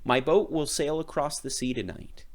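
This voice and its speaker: A man's voice: fairly high pitch, fairly even intonation, moderate pace, pitched above the performer's own voice, close to an everyday voice. A man voicing an earnest, dreamy builder in a storybook read-aloud, speaking with wonder. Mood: hopeful. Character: Yoshi, earnest, dreamy male boat and kite builder.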